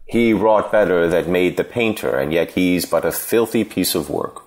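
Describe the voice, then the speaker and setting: An actor playing a churlish cynic philosopher in a Shakespeare reading, answering with biting contempt. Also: male voice, low voice, moderate pace, a little mellow, close to an everyday voice. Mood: scornful, biting. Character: Apemantus, scornful churlish cynic philosopher, male. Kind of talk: unrehearsed Shakespeare play reading.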